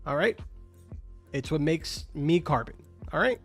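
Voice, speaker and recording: A man's voice: on the high side, lively intonation, measured pace. A young man whose first language is Spanish, chatting casually with his live-stream viewers, answering their questions with lots of filler words. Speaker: game streamer. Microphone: studio microphone. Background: faint game music.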